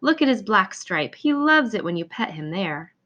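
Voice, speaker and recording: A woman voicing a gentle, lonely male cab driver in a children's storybook, earnest and soft-hearted. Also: mid-range voice, big pitch swings, moderate pace, dull audio. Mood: earnest, fond.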